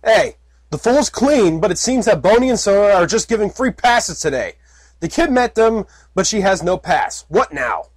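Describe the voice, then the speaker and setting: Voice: mid-range voice, very animated, moderate pace, a little mellow, breathy edge, no room sound. Reader voicing a burly, skeptical unicorn guard for an audiobook; close, dry recording. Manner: dry, skeptical, matter-of-fact.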